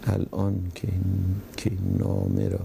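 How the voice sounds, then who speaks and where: A middle-aged male presenter with a heavily creaky, dark and mellow voice. He is hesitant and questioning, like a comic straight man, recorded in a studio with faint noise. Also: low-pitched, monotone. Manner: hesitant, questioning, repeats dictation slowly. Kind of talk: scripted comic dialogue. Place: TV studio kitchen set.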